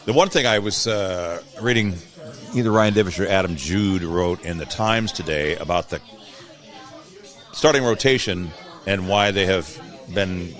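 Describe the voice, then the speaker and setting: A man talking casually about sports as an interview guest, with a measured, halting delivery. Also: low voice, a bit bright. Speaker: guest, PA announcer and post-game radio host.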